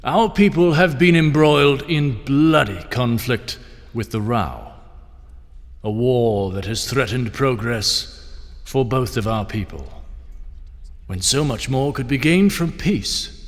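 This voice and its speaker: A man's voice: medium pitch, takes it slowly. An actor playing a grand middle-aged king who gives a ceremonious speech to a banquet hall. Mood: solemn. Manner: ceremonious, rousing oratory. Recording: long hall reverb with crowd murmur.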